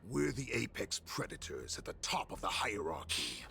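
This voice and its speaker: A savage wolf warlord for a game, very deep and thick with gravel. The delivery is grandiose and menacing, swinging wildly between hissed whispers and roars, over clear background music. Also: male voice, big pitch swings, moderate pace, bright, forceful tone, full character voice. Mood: commanding menace. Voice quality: gravelly, breathy growl. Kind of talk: dramatic video game voice acting.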